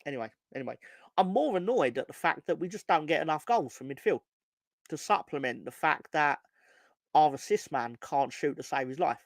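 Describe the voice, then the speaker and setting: A hoarse man ranting on a casual football fan podcast, swearing and rambling with many restarts. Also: fairly high pitch, animated, moderate pace, no room sound. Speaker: podcast co-host.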